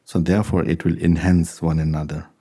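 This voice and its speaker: Low, mellow-voiced man teaching with an accent. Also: little pitch movement. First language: Tibetan. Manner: unhurried, conversational, chuckles while telling anecdotes. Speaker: Buddhist teacher. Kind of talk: dharma talk with question and answer.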